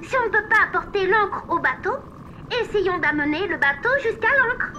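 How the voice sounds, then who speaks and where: A woman's voice: very high voice, expressive, normal speed, pushed and bright, lo-fi sound, faint music behind, full character voice. A woman voicing a resourceful, encouraging young mermaid leader in a French-dubbed children's cartoon. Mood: determined.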